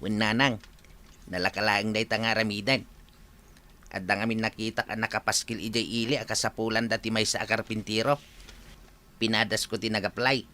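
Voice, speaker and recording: A man's voice: fairly low pitch, little pitch movement, normal speed, pauses often, sharp tone. A man playing an easygoing, generous young carpenter, a son-in-law, in a radio comedy drama. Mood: earnest.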